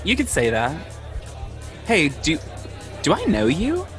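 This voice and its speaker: Casting: man to play an anxious, guarded male lead, hesitant and natural, clear-edged bright tone, music in the mix. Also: fairly high pitch, wildly swooping pitch, full character voice. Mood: wary. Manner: hesitant, halting, self-conscious. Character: anxious, defensive man confiding about his panic. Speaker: podcast host playing himself in audio drama. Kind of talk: naturalistic conversational audio drama acting.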